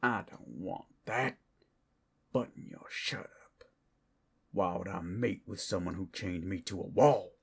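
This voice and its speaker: A man playing a cursed, menacing captive werewolf in an ASMR roleplay, with a gravelly, husky voice that often breaks into whispers. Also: low-pitched, heavily acted. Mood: scornful, bitter. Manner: slow, dramatic, swinging between whispers and outbursts. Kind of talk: ASMR audio roleplay acting.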